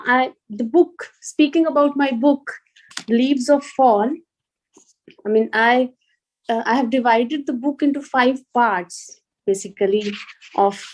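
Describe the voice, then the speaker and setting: Mellow-voiced Indian woman, fairly high pitch. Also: rough recording. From rural Punjab, India. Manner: hesitant, reflective, often repeating words. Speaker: guest speaker, police officer and poet. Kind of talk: informal address to an online student session.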